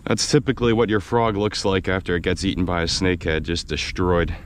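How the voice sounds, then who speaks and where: An American man with a slightly raspy, worn voice, chatting excitedly while fishing from a kayak outdoors, and laughing often. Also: low voice, a little fast, background noise. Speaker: fishing video host. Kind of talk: casual on-the-water vlog narration. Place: outdoors on a kayak in a windy swamp.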